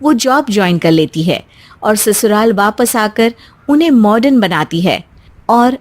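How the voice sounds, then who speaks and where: A woman's voice: mid-range voice, expressive, a little slow. A woman reading a scripted story as its narrator.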